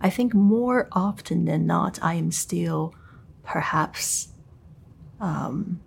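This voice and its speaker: A woman whose first language is Chinese, speaking English in a thoughtful academic conversation, pausing to search for words. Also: lowish voice, vocal fry, breathy edge. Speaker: tutor.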